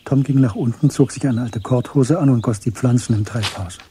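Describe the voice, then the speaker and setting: A man delivering measured, sober scripted narration for a German radio drama in a husky voice. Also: mid-range voice, mellow, slight background noise.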